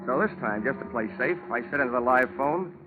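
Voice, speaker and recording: Through a tinny vintage radio broadcast with music behind, an actor plays an American male private investigator. He is cool-headed and wry, narrating his case in a hard-boiled mid-pitched voice. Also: expressive, brisk, close to an everyday voice. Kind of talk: 1950s radio detective drama acting.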